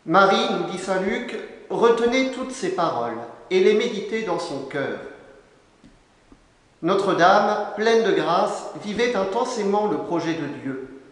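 A young man preaching a formal sermon from a lectern, solemn and measured, phrase by phrase, recorded in a large church. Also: sits high, expressive. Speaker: canon preacher. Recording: long echo of a large church.

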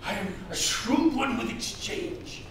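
A man's voice: sits high, sing-song, full character voice. An older man acting a vain, possessive old slaving captain in a theatrical stage reading. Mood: bargaining. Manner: theatrical, slow, intense. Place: large theater stage, indoors. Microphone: far from the speaker on stage. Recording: echoey hall, distant mic, hiss.

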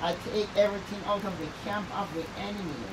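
A woman leading fervent prayer, raspy-voiced, with intense repeated declarations and speaking in tongues. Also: medium pitch, quick pace. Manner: intense, repetitive prayer, breaks off to check audio. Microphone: phone or earpiece mic. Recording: distant mic with clear background noise.

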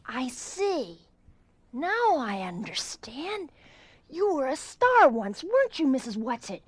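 A woman playing an earnest, perceptive little boy in an audio drama, with a deliberate, precocious intensity. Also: high voice, very animated, exaggerated voice. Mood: curious, solemn.

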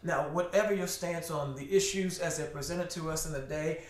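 A middle-aged male pastor delivers a sermon in an earnest, preacherly way, his voice sitting a little higher than most men's. He speaks from a home study to a camera on the desk, and the room gives the sound a noticeable echo. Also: normal speed. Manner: earnest, preacherly, with repeated words and rising emphasis. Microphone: camera at desk, head and shoulders framing.

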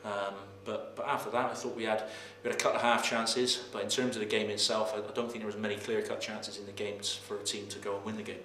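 A footballer in his thirties gives a post-match interview in a low, flat voice. He talks haltingly, often repeating words and pausing on "um". The sound is roomy with a faint noise behind. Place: press room in front of sponsor board. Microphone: camera mic, head and shoulders framing.

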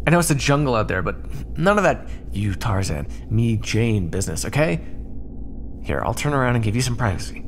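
A man's voice: mid-range voice, sing-song, fast, noisy background. A young man acting out an ASMR roleplay in a teasing, bantering way.

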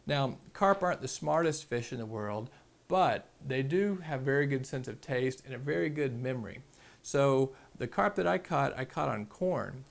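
A man, calmly explaining fishing tips step by step at a table indoors, with plenty of ums. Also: medium pitch, normal speed, vocal fry. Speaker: fishing channel host. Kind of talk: casual instructional explanation to camera. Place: small indoor room at a table.

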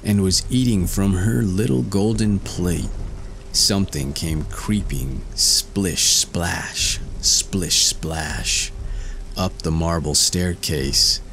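Very slow, deep-voiced male storyteller. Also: gravelly, a bit bright, soft background music. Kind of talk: scripted fairy-tale narration.

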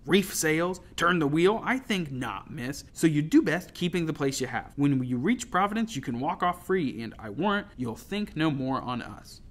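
A man's voice: a little high, sing-song, moderate pace, hardly any pauses. Big hostile seaman for a sea-story audiobook, played by a male reader: blunt, growling, full of scorn. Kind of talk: audiobook character acting.

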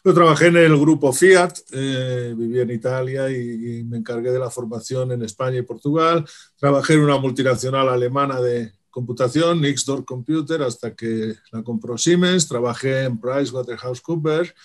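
A man's voice: medium pitch, moderate pace. A middle-aged man with a Madrid accent answers interview questions over a webcam, in a mid-range voice. He is upbeat and chatty, often restarts his words and ends phrases with a questioning "¿no?". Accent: Madrid Spanish. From Spain. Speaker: guest, psychologist and coach. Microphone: video call webcam.

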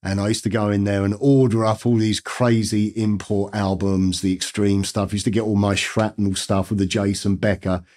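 An English man in his fifties teaching guitar to camera, croaky, nasal and gruff from a cold, chatty and rambling. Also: lowish voice, level tone, normal speed, hardly any pauses, darkish tone. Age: 50s. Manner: chatty, rambling, enthusiastic with fillers. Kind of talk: casual guitar lesson talk to camera. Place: dark studio indoors.

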